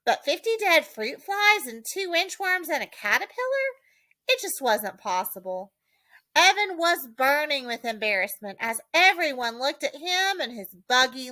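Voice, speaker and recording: A woman reading a children's storybook expressively, with dramatic, deliberate emphasis. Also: high-pitched, sharp tone, dry recording. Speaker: audiobook reader, teacher.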